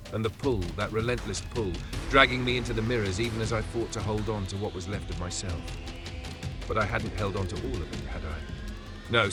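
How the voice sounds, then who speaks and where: A man narrating a scripted first-person horror story in a dramatic, measured way with pauses. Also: lowish voice. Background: background music.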